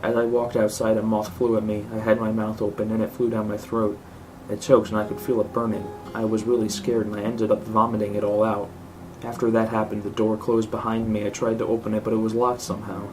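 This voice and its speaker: A man's voice: fairly low pitch, flat delivery, fairly quick, hardly any pauses. A man reading a scripted horror story aloud as narrator. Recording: clearly noisy home recording.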